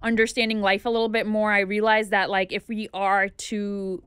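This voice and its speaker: A woman in her thirties talks earnestly as a podcast guest, laying out her points one by one with plenty of ums. Her voice is smooth and clear at a middle pitch. The sound is dry and close, from a studio mic in a small treated room. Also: level tone, moderate pace, fairly bright tone, no room sound. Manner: earnest, thoughtful, lists points with frequent fillers. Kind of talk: casual interview conversation. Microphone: studio microphone on boom arm.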